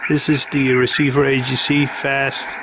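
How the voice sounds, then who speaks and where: A man giving a casual, unscripted demonstration of equipment, explaining methodically and haltingly. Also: mid-range voice, flat delivery. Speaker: narrator demonstrating vintage radio equipment. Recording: noisy recording with radio hiss.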